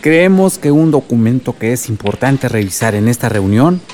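A male actor plays a serious villager in a radio drama. His voice is mid-pitched, and he puts his points in a formal, matter-of-fact manner. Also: lively intonation, normal speed, dark tone, slight background noise, close to an everyday voice. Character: serious male villager reporting to a communal assembly.

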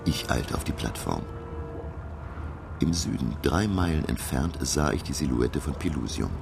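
An actor playing a grave professor who narrates his sea voyage slowly and with suspense in a radio play. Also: background noise, heavily acted. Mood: grave, measured. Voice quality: very deep, gravelly. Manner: slow, measured, suspenseful storytelling. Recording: loud music behind the voice. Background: dramatic music.

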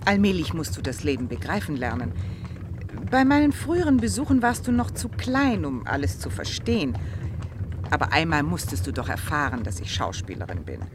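A spirited, lowish-voiced, very expressive actress. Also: female voice, a little fast, noisy background. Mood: coaxing, earnest. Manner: theatrical, emphatic, coaxing. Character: spirited actress, protective and exasperated older sister. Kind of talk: German radio drama acting.

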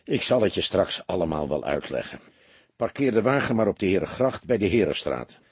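Husky, wry older detective acted by a man. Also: deep voice, big pitch swings, brisk, darkish tone, no echo, exaggerated voice. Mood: dry, instructive. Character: older heavyset male police detective, calm, wry, commanding. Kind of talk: audiobook character dialogue.

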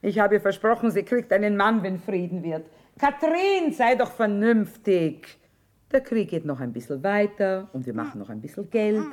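An actress playing a shrewd, hard-bitten middle-aged war trader in a radio drama, earthy and plain-spoken. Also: female voice, mid-range voice, lively intonation, moderate pace, a little mellow, lightly acted. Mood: coaxing, brisk. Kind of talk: stage-style German radio drama acting.

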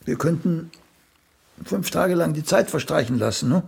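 An actor playing an aging celebrated playwright and mentor in a radio play, raspy, blunt and pedantic, with a dryly cutting drawl. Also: male voice, fairly high pitch, lively intonation, moderate pace, darkish tone, exaggerated voice. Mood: dryly dismissive. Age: older. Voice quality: raspy, gravelly. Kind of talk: German radio play acting.

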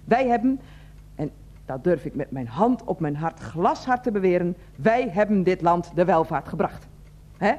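An actress playing an older, self-righteous head of a residents' association in a radio play, wheezy, formal and repetitive. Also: female voice, on the low side, animated, normal speed, mellow. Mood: indignant. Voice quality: wheezy, asthmatic breathing. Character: fussy, indignant older woman heading a residents' association. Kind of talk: Dutch radio play acting.

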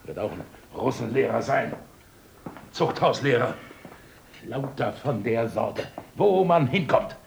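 An actor playing a bitter, domineering village miller who barks orders in a gruff, scornful way. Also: male voice, medium pitch, lively intonation, slow pace, long pauses, a little hiss or hum, full character voice. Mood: bossy, bitter. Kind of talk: radio play acting.

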